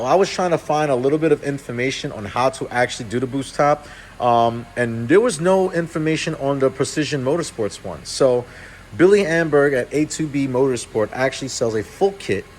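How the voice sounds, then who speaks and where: A man's voice: medium pitch, slight background noise. Casual thirty-something man in a shop. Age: 30s. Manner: casual, with restarts and self-corrections. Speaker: car channel host. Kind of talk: casual shop-floor vlog. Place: auto repair shop, indoors.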